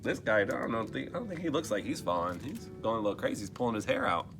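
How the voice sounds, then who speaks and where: A man's voice: on the low side, very animated, fast talker, over music. A man reading a picture book aloud to children, expressively, dropping into casual muttered asides and questions for his listeners. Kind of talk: animated storybook read-aloud with casual asides.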